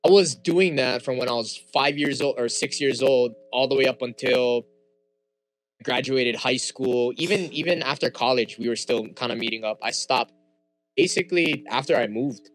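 Hawaiian man in relaxed chat. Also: mid-range voice, moderate pace, rough recording. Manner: relaxed, frequent fillers and restarts. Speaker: guest. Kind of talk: casual interview chat.

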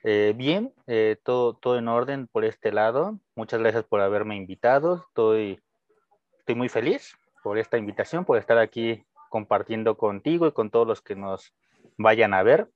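A young Mexican man with a Mexican Spanish accent and a lowish voice talks about his path as a teacher. He hesitates and restarts often. His sound is dry and muffled, like a lo-fi call. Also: animated, normal speed, no room sound. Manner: hesitant, many fillers and restarts, friendly. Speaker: guest, French teacher. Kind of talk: casual interview answers.

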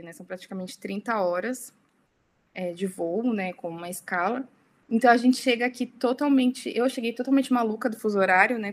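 A young woman speaking as a podcast guest, talking casually and often tagging her sentences with little questions. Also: medium pitch. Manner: chatty, many tag questions. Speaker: interview guest.

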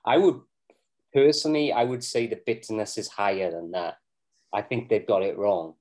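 A man's voice: on the low side, normal speed, a little mellow. A man co-hosting a casual beer tasting podcast, chatty and self-deprecating, often restarting his sentences. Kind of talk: casual chat reviewing beer.

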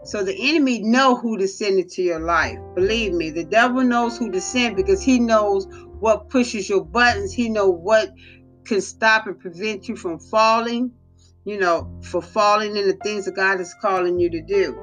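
A woman delivers an informal sermon for her devotional podcast, earnest and exhorting, leaning on repetition to drive points home. Her voice is bright and forceful, though a little hoarse, set at a middle pitch. A soft guitar plays faintly underneath. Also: moderate pace. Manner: earnest, exhorting, repeats phrases for emphasis. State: slightly hoarse, recovering voice. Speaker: podcast host giving devotional teaching.

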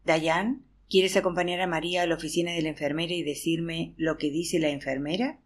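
A woman's voice: deep voice, steady tone, fairly quick, a little hiss or hum. A woman narrating an audiobook in the first person, acting out a weary teacher's chatter to a class. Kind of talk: expressive audiobook reading.

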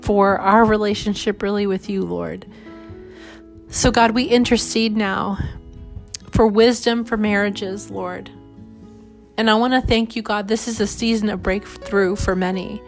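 A woman leading a spoken congregational prayer in an earnest, pleading way, often repeating "God" and "Lord.". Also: medium pitch. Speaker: prayer leader. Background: soft guitar music.

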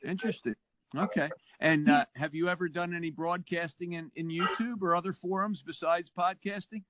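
A man's voice: sits high, steady tone, brisk, noisy background. A man hosting a podcast chat, enthusiastic and full of praise for his guest. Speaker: podcast host. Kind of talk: casual interview chat.

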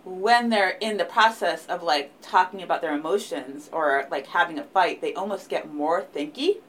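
A woman co-hosting a casual chat about astrology signs, thoughtful, trailing off softly and dropping playful quoted asides. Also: on the low side, normal speed, distant mic, slight background noise.

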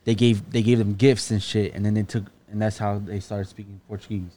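A man's voice: on the low side, little pitch movement, fairly quick, warm tone. A young man chatting in casual podcast banter, laid-back and matter-of-fact. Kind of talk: casual banter among friends.

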